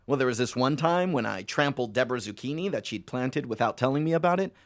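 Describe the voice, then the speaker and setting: A man's voice: medium pitch, expressive, quick pace, no room sound, studio quality, lightly acted. An actor playing a needy, hard-drinking philanderer of a phone salesman in an audio drama, rambling and swearing as he goes. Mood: sheepish, rambling.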